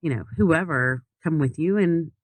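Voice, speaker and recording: A woman's voice: deep voice, moderate pace, no echo. A woman telling a travel story as a podcast guest in casual chat, with lots of fillers and restarts.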